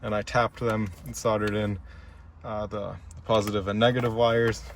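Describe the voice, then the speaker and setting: A man's voice: fairly low pitch, flat delivery, moderate pace. A man giving a casual, methodical how-to walkthrough, explaining each step as he works inside a car. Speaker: DIY installer presenting his project. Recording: clear background noise inside a car.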